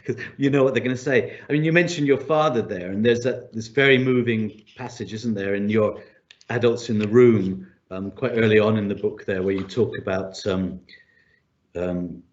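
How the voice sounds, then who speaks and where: A man's voice: fairly low pitch, moderate pace, lo-fi sound. A man interviewing a guest, asking long, friendly questions full of fillers. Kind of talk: conversational interview.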